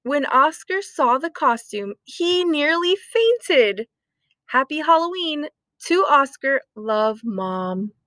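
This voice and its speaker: A woman reading a children's story aloud expressively, with deliberate dramatic emphasis. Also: high-pitched, big pitch swings, sharp tone, no room sound, studio quality. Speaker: storytime reader.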